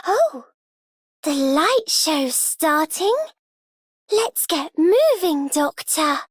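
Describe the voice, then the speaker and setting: A woman voicing a small, sleepy, laid-back girl in a video game, with a drowsy, breathy delivery. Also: high voice, sing-song, very slow, no room sound, studio quality, exaggerated voice. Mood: dreamy, content. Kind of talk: scripted game character voice line.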